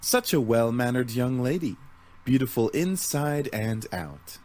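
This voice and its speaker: Man playing a suave, animated flirtatious gentleman demon. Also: mid-range voice, unhurried, close to an everyday voice. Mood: flattering. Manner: theatrical, flattering. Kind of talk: visual novel game acting.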